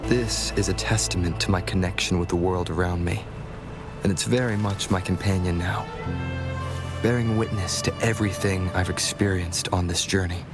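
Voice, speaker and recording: A man voicing a composed, thoughtful traveler in a video game, speaking in a calm, reflective manner. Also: low voice, normal speed, natural voice. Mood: calm, assured. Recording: loud music under the voice.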